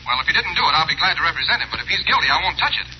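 A principled young lawyer. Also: male voice, on the high side, sing-song, racing, slight background noise. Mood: steady. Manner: firm, upright, matter-of-fact. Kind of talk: 1940s radio acting. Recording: dull lo-fi old radio recording.

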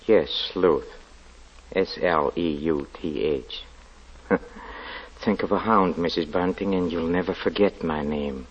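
A sinister, deeply pious lodger who speaks in a very low, hesitant voice, stammering through his words before turning stern. The sound is dull and muffled, as on an old radio broadcast. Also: slow pace, pauses often, husky, full character voice. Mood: weary. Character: sinister pious male lodger, weary and zealous. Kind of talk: old-time radio drama acting.

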